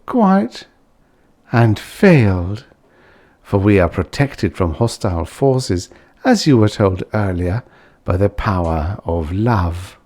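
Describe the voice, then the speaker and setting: A man acts an alien official from a science fiction storybook. It is complacent and unruffled, dismissing worries calmly with a varied, gliding pitch. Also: takes it slowly. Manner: calm, dismissive.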